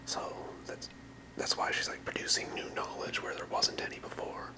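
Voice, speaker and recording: A person whispering in ASMR style, explaining things softly and without hurry as a creator presenting to viewers. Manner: soft, unhurried explaining in whispers. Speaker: ASMR creator presenting a trading card deck.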